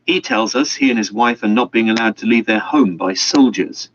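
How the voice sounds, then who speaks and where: Lively-voiced male radio host. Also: lowish voice, creaky. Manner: brisk, lively interviewer. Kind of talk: radio news magazine presenting. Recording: dull lo-fi radio broadcast.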